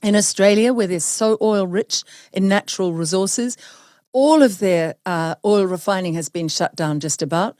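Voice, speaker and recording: A woman's voice: mid-range voice, normal speed, a little mellow, no room sound, clean studio sound. A woman from New hosting an interview, speaking emphatically and indignantly. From New Zealand. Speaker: host. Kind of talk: opinionated interview talk.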